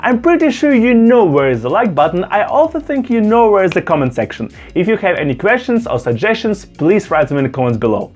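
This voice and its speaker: A man, a non-native English speaker, speaks straight to the camera in a slightly raised voice. He is earnest and emphatic and repeats phrases to drive his point home. A little background music runs quietly under him. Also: high-pitched, sing-song, fairly quick, few pauses. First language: not German; Russian-speaking background. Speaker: YouTuber. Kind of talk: direct-to-camera opinion vlog. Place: indoors, talking to camera.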